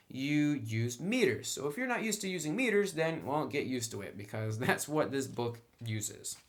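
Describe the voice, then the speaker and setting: A man giving a casual, conversational review of a tabletop game, explaining rules and sharing his own opinions. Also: mid-range voice.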